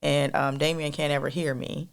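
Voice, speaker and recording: A woman co-hosting a podcast in a casual, rambling monologue with a soft, melodic voice, talking straight to listeners as y'all. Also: low voice, flat delivery, moderate pace, creaky. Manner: rambling, addresses listeners as y'all, many fillers. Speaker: podcast co-host.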